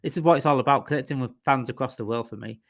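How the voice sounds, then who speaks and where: A man's voice: medium pitch, racing, vocal fry. A man from Yorkshire with a Yorkshire accent, hosting a casual live fan stream, rambling and repeating himself as he reads out viewer comments. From Yorkshire, England. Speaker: livestream host.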